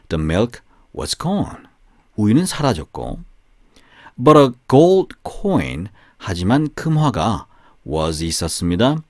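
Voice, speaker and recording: A man reading a storybook for language learners in short, deliberate phrases, alternating with translations. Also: mid-range voice, a little mellow, dry recording, studio quality. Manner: slow, deliberate, pausing between short phrases. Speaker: narrator of bilingual audiobook.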